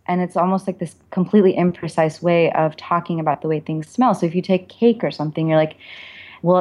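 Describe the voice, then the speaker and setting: A woman speaks in a very low register as an interview guest. She explains her thoughts conversationally, reflecting as she goes in informal language. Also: darkish tone. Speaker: author and perfumer, interview guest.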